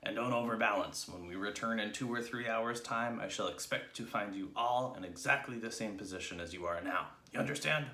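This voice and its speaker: A man's voice: medium pitch, animated, fairly quick, gravelly, hardly any pauses, bright, forceful tone, echoey room, pitched above the performer's own voice. A man voicing a nasty, blustering bully in a children's storybook, a fearsome monkey trainer with a barking, menacing manner. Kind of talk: dramatised children's storybook reading.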